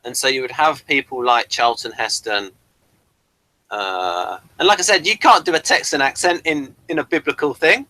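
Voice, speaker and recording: A man's voice: medium pitch, moderate pace, bright, forceful tone, no room sound, low-quality recording. A man hosting a review discussion, talking in a hesitant, digressive way as he explains things. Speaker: host of a TV review show.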